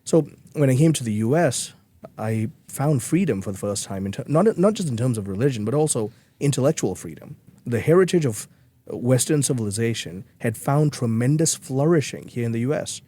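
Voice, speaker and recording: A man's voice: medium pitch, lively intonation, brisk, mellow, dry recording. A man from Malaysia, speaking earnestly as a guest in a podcast interview, with frequent restarts. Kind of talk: conversational interview.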